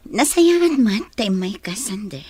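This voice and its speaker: An actress with an Ilocano accent and husky voice playing a frank young woman in a radio drama. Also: mid-range voice, big pitch swings, measured pace, a little mellow, dry recording. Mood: firm, frank. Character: frank, firm young woman rejecting an unwanted suitor.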